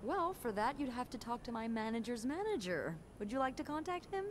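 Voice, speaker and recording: Sardonic receptionist, lively pitch, noisy background. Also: female voice, a little fast. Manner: deadpan sarcasm under polite phone courtesy. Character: exasperated, sardonic female hotel receptionist. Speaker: video game voice actor. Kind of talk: narrative game acting.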